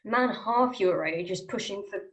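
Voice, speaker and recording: A woman acts out a stony doctor who disapproves dryly. The voice is medium-pitched for a woman and edged with sarcasm. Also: normal speed, lightly acted, poor audio. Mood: dry disapproval. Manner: dry, sarcastic. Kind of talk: amateur script table read.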